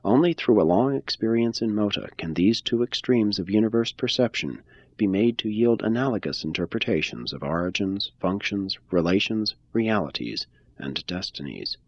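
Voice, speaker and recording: A man giving a measured, phrase-by-phrase scripted reading of a religious text. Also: lowish voice, expressive, no room sound, muffled recording. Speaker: reader.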